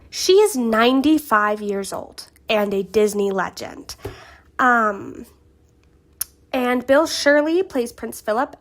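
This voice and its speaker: A fairly high-pitched woman whose voice carries the leftovers of a cold. She talks through film facts in a chatty, earnest way, sometimes restarting a sentence or dropping in a filler. It sounds like a casual solo channel host. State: getting over a cold. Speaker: YouTube host. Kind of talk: casual vlog narration of film history.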